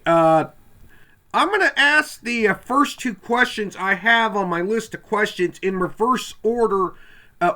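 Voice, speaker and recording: A male podcast host with a high, bright voice that swings widely in pitch. He reads his introduction and questions from a list, pausing on "uh" between phrases. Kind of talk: scripted intro and interview questions.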